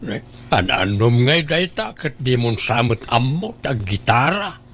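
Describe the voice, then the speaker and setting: A man's voice: mid-range voice, very animated, a little slow, a bit bright, a little hiss or hum. A man with an Ilocano Filipino accent playing a plain-spoken middle-aged father in an audio drama, inquisitive and mildly chiding.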